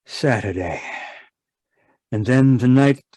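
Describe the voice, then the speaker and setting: A man's voice: medium pitch, slow, dark tone, slightly rough, no echo. An actor playing a stern, irritable husband obsessed with his work, imperious and impatient, in old-time radio drama style. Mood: brooding. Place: live video call performance. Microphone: video call microphone.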